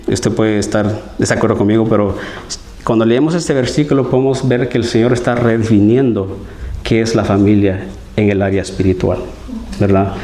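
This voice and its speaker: A man's voice: fairly low pitch, mellow, a little hiss or hum. A man from Guatemala, giving an earnest, conversational sermon as a lay preacher and often asking his listeners for agreement. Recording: roomy church hall echo.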